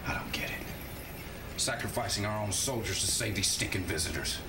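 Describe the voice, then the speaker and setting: A man's voice: lowish voice, lively intonation, brisk. A man voicing an uneasy, blunt male army officer in a game, talking in a casual, slangy, candid way. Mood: disillusioned. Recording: distant mic, loud background noise.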